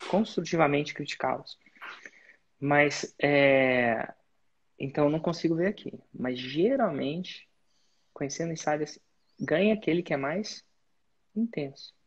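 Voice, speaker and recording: A husky-voiced man leads a coaching call in a direct, instructive manner, breaking his advice into clear points. His voice sits at a middle pitch, and the recording sounds dull and a bit lo-fi. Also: unhurried, long pauses. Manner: direct, instructive, uses sports-belt metaphors. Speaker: business mentor and host. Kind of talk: live coaching session.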